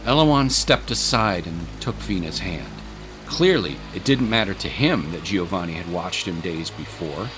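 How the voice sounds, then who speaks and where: A man's voice: low-pitched, lively intonation, measured pace. A man narrating a dramatic scripted story. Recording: music and rain sounds behind.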